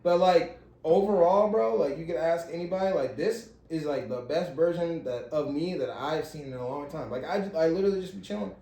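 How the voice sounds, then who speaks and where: A man's voice: on the high side, fairly quick, dark tone, roomy sound, low-quality recording. A man in his thirties chatting casually as a podcast co-host, in an earnest, slangy, repetitive way. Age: 30s. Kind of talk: casual chat.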